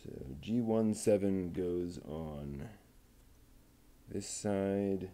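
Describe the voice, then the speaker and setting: A man talking himself through assembling a model kit, muttering and pausing between steps. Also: low voice, warm tone. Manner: slow, halting, with many pauses. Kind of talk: casual build narration.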